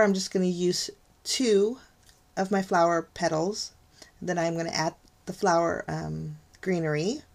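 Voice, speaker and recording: Middle-aged lady doing a craft tutorial at her desk, kinda low voice, chatty, says gorgeous a lot. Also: darkish tone. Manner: chatty, gushing, frequent ums and light laughs. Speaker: card-making channel host. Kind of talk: casual crafting tutorial voice-over. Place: craft desk, overhead camera on hands.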